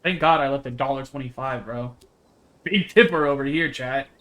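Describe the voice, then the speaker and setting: Bright-voiced man streaming a visual novel. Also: medium pitch, normal speed. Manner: casual, sweary. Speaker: game streamer. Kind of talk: live reading of a visual novel with casual asides. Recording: music behind the voice.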